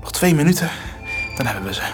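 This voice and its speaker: An actor playing a daring teenage son in a radio drama, terse, calm and resolute. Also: male voice, fairly high pitch, quick pace. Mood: focused. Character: cool, determined teenage son, daring young burglar. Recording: music behind the voice.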